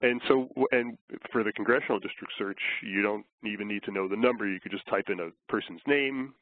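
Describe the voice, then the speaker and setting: A man getting over a flu, slightly hoarse, giving a measured, explanatory webinar presentation with frequent fillers. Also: fairly low pitch, no echo. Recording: tinny phone line.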